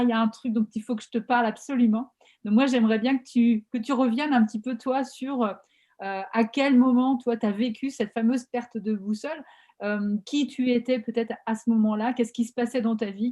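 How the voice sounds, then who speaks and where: This is a woman host with a somewhat high voice who talks chattily and with energy while she interviews a guest. She leans on fillers and restarts, and she is speaking over a video call. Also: steady tone, brisk, warm tone. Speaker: podcast host and coach. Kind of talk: casual interview hosting.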